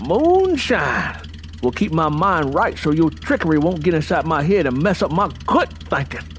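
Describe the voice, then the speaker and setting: A man's voice: a little high, big pitch swings, moderate pace, a little hiss or hum, pitched above the performer's own voice. A man voicing a domineering, hard-drinking farmer husband in an audiobook, with an emphatic, folksy, preaching manner. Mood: gruff, defiant. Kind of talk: dramatized audiobook character dialogue. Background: music bed.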